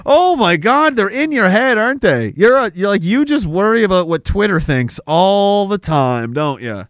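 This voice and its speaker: A man's voice: high-pitched, animated, normal speed, no echo. Man, livestream host, reads chat comments, sarcastic, laughs a lot, dry sound.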